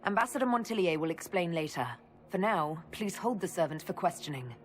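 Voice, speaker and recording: A woman's voice: fairly low pitch, expressive, a little fast, a little hiss or hum, lightly acted. An actress playing a firm, level-headed woman leader, decisive and controlled, in a video game. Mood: commanding. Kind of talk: video game dialogue acting.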